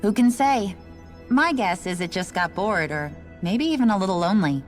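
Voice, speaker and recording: An actress playing a resigned, matter-of-fact station worker in a video game, explaining things in a casual, unbothered way. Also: female voice, mid-range voice, lively intonation, moderate pace, faint music behind, close to an everyday voice. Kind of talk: video game cutscene voice acting.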